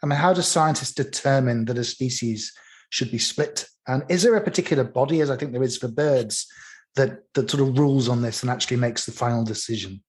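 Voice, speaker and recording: A man's voice: mid-range voice, brisk, darkish tone. A man hosting a podcast interview, asking curious questions in a casual way. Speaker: podcast host.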